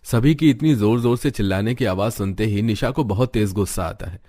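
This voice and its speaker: Low-voiced male story narrator. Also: a little fast, dark tone. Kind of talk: scripted cartoon story narration.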